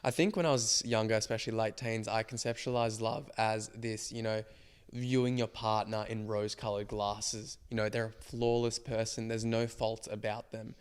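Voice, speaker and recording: A man in his twenties co-hosting a podcast with a slightly croaky voice, reflecting at length in casual chat. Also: on the low side, level tone, normal speed. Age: 20s. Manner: reflective, explains ideas at length. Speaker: podcast co-host.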